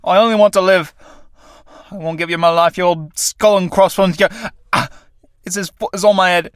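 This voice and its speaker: A man's voice: high-pitched, fairly even intonation, moderate pace, dry recording, studio quality, pitched above the performer's own voice, natural voice. A man playing a battered old tramp. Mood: desperate. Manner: rough colloquial speech, dropped letters. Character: battered old male tramp, rough and philosophical. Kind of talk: radio play acting.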